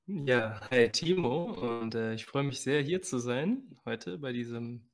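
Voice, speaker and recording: A man talking conversationally as a guest on a podcast. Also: medium pitch. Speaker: podcast guest, agroecology worker.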